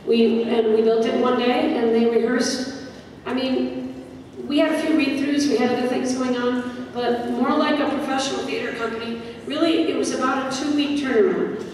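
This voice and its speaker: A woman's voice: a little high, steady tone, normal speed, darkish tone. A woman drama director talking informally and explaining things to an audience in a question-and-answer session. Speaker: drama director leading a post-show talkback. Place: large indoor hall with audience. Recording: echoey hall from far off, audience noise.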